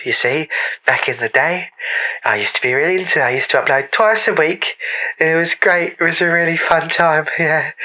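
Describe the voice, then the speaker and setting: An aggrieved British former creator played by a woman, high and sharp-voiced. She rambles melodramatically, like an interviewee in a comic mock documentary. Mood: wistful. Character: indignant, aggrieved female former ASMR creator.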